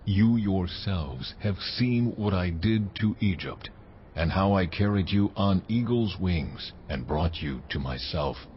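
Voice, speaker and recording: A man playing God in a dramatized scripture recording, slow, weighty and authoritative, with a solemn commanding manner. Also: deep voice, expressive, highs cut off, slight background noise. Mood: solemn, majestic.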